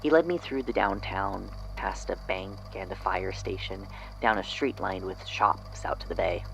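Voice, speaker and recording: Man acting unsettled storyteller, low gravelly voice, hesitant, reflective, eerie audio drama, slight background noise. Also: normal speed, fairly bright tone, low-quality recording. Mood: uneasy wonder. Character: unsettled man haltingly recounting an eerie memory.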